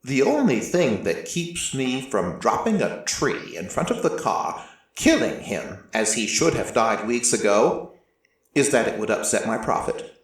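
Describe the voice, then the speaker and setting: A man narrating dramatic first-person fiction in a deliberate, theatrical storytelling manner. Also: mid-range voice, big pitch swings. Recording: very echoey, distant from mic.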